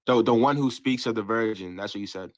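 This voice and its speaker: Low-voiced hesitant man, dry sound. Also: brisk, no room sound. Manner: hesitant, many fillers and restarts, defensive. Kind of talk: religious debate.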